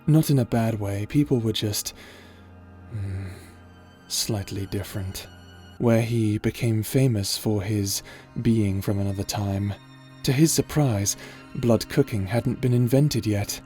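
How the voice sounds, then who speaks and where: A man narrates the epilogue of a tale in a fairly low voice. He reads from a script, with music playing softly behind him. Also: slow pace.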